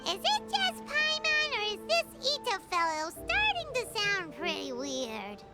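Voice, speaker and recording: Fairy companion, very high voice, bouncing all over, blunt, nosy, game music behind. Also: female voice, wildly swooping pitch, a little slow, heavily acted. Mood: incredulous. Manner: refers to herself in third person, blunt and curious. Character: tiny floating fairy companion, chirpy and nosy. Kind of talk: video game voice acting. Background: soft game music.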